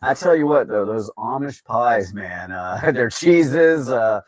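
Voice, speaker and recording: A man's voice: medium pitch, expressive, slow pace. A man chatting casually in an agreeable, easygoing way. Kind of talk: casual chat.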